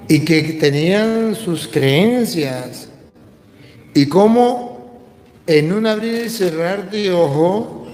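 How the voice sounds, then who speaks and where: Slow, echoey Venezuelan priest preaching. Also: male voice, on the high side, darkish tone, a little hiss or hum. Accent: Venezuelan Spanish. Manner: didactic, emphatic, repeats phrases for stress. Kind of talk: religious teaching, question and answer talk. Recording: echoey hall with long reverb.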